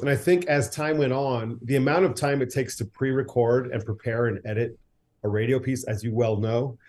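A man's voice: medium pitch, darkish tone. A man, a director and writer, guesting on a podcast, easygoing, laughing often as he tells stories. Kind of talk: casual interview.

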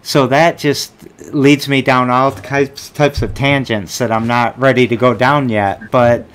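A man's voice: medium pitch, steady tone, measured pace, fairly bright tone, clean tone. Friendly rambling male host. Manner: friendly, rambling, filler-heavy. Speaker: podcast host. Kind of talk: casual interview.

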